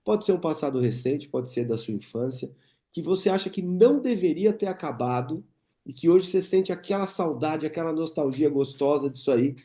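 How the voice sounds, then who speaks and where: A man's voice: on the high side, animated, brisk, warm tone. A man in his thirties from Brazil hosting a podcast, chatting casually and reminiscing with his guest. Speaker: podcast host. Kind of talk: casual interview chat.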